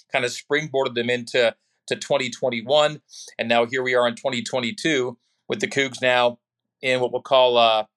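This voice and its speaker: A middle-aged man from Canada, a sports broadcaster, giving measured and analytical answers as a podcast guest. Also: medium pitch, fairly bright tone. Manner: measured and analytical, with small restarts.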